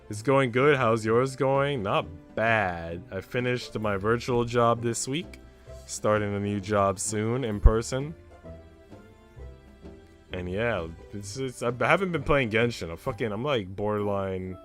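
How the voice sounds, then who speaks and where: Male streamer, lowish clean voice, casual and sweary, chats and reads story text aloud, faint music underneath. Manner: slangy, swearing. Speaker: live streamer. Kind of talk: casual livestream chat and reading visual novel aloud.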